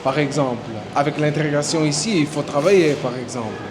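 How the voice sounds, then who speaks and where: A man's voice: on the high side, brisk. A man from Portugal with a Portuguese accent, speaking politely and casually as a street interviewer and video host. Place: outdoors on a city street. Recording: noisy outdoor street with traffic. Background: clear street and vehicle noise.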